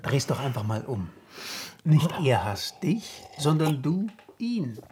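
A man's voice: medium pitch, sing-song, slow, background noise. A man playing a scheming adviser who coolly instructs a young prince point by point in a radio play. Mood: cool, persuasive. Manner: coaxing, reasoning point by point.

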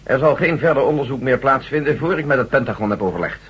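An actor playing a brisk, authoritative general chairing a tense briefing in a radio drama. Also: male voice, mid-range voice, animated, noisy background, close to an everyday voice. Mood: commanding. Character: General Stevens, brisk military commander. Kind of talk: 1970s radio drama acting. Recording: dull lo-fi radio recording.

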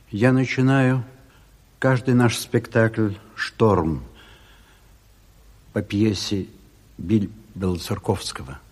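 A man speaks slowly and earnestly as he introduces a stage play. His voice is husky and oratorical, with emphatic pauses, carried in long hall reverb. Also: mid-range voice, darkish tone. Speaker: theatre company presenter introducing a play. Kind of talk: solemn introductory address to an audience.

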